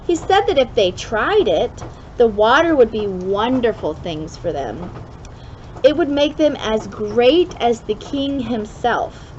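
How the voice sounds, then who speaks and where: Give the voice of a woman reading a children's story aloud, pitched fairly high and very animated. She places deliberate dramatic emphasis as she tells it. The recording is dull, with clear background noise. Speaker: storybook reader for a kids club. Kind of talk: expressive read-aloud of a children's allegory.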